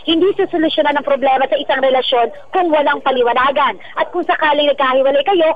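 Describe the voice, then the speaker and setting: High-pitched Filipino woman co-hosting radio. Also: fast, dry recording. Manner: bantering, giggly, slangy. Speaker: radio co-host. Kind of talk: casual chat, reading listener messages. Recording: dull band-limited remote link.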